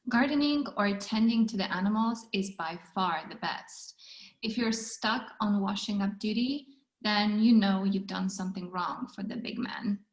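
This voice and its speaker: A woman's voice: mid-range voice, normal speed, natural voice. A woman reading the part of a wry, frank woman in her early twenties at a script table read. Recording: roomy, echoing sound.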